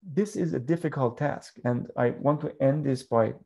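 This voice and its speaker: A man gives a reflective talk over a webcam from a bookshelf-lined room at home. His voice is gravelly and husky, with a mellow, dark tone. He lets his phrases stretch out as he thinks aloud. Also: mid-range voice. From Iran. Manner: thoughtful, drawn-out phrasing, tells a parable with voices. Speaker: guest speaker. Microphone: video call webcam.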